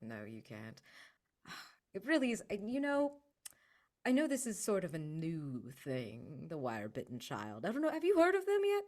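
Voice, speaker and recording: A man's voice: on the high side, wildly swooping pitch, moderate pace. A man voicing a sincere, nostalgic devotee of sacrifices in a tabletop game, musing effusively in a conversational way. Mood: earnest enthusiasm.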